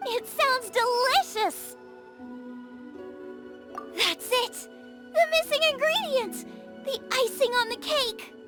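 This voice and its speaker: A woman voicing a cheerful young girl chef who gets excited about ingredients, over loud game music. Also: extremely high pitch, slow pace, pauses often, heavily acted.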